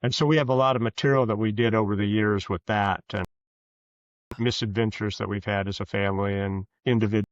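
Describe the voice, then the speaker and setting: A man's voice: on the low side, fairly even intonation, fairly quick, no room sound, lo-fi sound. An older man chatting casually as an interview guest, rambling and good-humoured. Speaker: fiction author, podcast guest.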